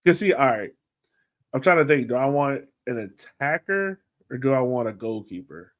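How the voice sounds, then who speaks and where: A man's voice: medium pitch, animated, moderate pace, highs cut off. A man co-hosting a soccer podcast in casual chat, laid-back and thinking aloud, often trailing off. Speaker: podcast co-host.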